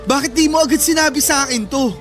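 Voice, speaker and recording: A man's voice: extremely high pitch, fast talker, fairly bright tone. A man with a Filipino accent playing a protective young older brother in a radio drama, emotional and pleading. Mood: urgent. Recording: music bed under dialogue. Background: background music.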